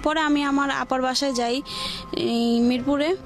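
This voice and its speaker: A woman's voice: sits high, fairly even intonation, measured pace. A woman with a Bangladeshi accent telling her own life story on a radio show, in a hesitant, colloquial way. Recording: music behind the voice.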